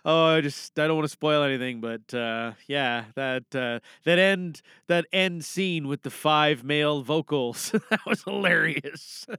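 A man hosts a podcast with casual, rambling chat and a joking manner. His voice is sharp and bright with some gravelly fry. The sound is dry and close. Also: fairly high pitch, slow pace, no echo. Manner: jokey, rambling. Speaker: podcast host. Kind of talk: casual, chatty show introduction.

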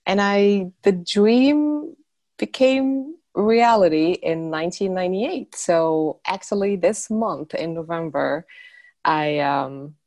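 A woman in her forties from Morocco, a guest life coach talking in a relaxed, thoughtful way with light laughs. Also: fairly low pitch, takes it slowly, dry recording. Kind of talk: casual interview chat.

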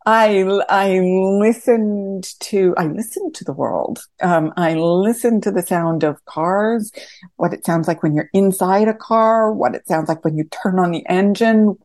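A woman giving a reflective interview as a series creator, thoughtful and halting as she searches for words. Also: mid-range voice, a little mellow, no room sound, studio quality. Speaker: theatre podcast series creator.

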